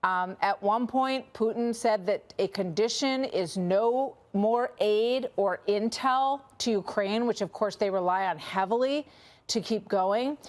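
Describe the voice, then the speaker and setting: A woman's voice: mid-range voice, a little slow. An American woman working as a news anchor, presenting live and reading out statements.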